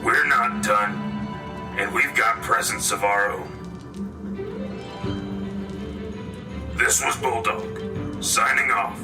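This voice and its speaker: Weary, resolute male field reporter a man acting, radio drama dispatch, low and terse, slightly distant over music. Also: slow pace. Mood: defiant toast. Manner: clipped short phrases, grave and solemn. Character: weary, resolute male war correspondent at the battlefront.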